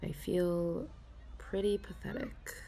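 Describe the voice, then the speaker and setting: A young woman in a soft ASMR role-play, confiding hesitantly as an anxious office worker, sighing and stopping to restart her sentences. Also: mid-range voice, vocal fry, a little hiss or hum. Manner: hesitant and self-deprecating, with frequent sighs and halting restarts.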